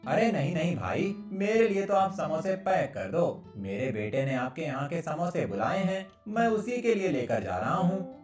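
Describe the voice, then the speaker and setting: A man's voice: on the high side, sing-song, moderate pace, darkish tone. A man playing a plain-spoken village father in a cartoon moral story. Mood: polite. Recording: roomy, distant, faint music underneath.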